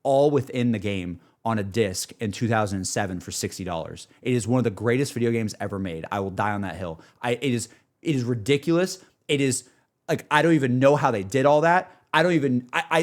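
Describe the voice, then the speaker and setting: Young adult male streamer, mid-range voice, informal and enthusiastic, self-deprecating, rambling conversational delivery. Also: medium pitch. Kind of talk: casual livestream chat, rambling gaming talk.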